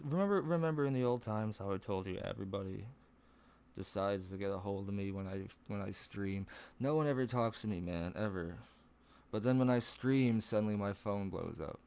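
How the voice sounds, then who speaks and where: Rambling low-voiced man streaming from home. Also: darkish tone. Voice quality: low voice with odd high breaks. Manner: rambling, swearing, laughs at himself. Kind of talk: casual live-stream chat.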